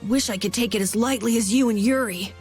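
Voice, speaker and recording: A woman's voice: a little high, a little fast, darkish tone, faint music behind, close to an everyday voice. A woman voicing an earnest, anxious young boy adventurer in an anime-style video game. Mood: worried.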